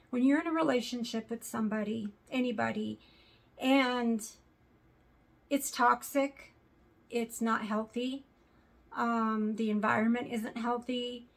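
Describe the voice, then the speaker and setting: Slow, raspy woman with a sore throat. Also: on the high side. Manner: earnest, hesitant, many fillers. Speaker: vlogger. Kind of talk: casual talk to camera.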